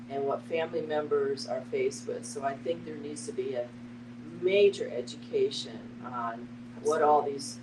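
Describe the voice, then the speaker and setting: A middle-aged woman giving an earnest personal account in a group discussion, speaking in an emphatic, deliberate way. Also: low-pitched. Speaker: panel participant, family caregiver. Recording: echoey, distant mic, noisy hum.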